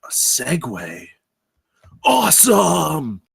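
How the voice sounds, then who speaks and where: A man reading an eager, excitable campus security role at a script table read. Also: fairly high pitch, extremely slow, vocal fry, slightly rough, dry recording, studio quality, full character voice.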